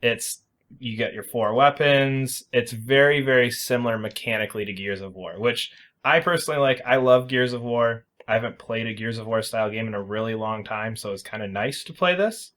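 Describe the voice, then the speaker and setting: Male podcast host, mid-pitched, chatty and informal, talks through explanations with casual emphasis. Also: a little fast, fairly bright tone. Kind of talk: casual video game review chat.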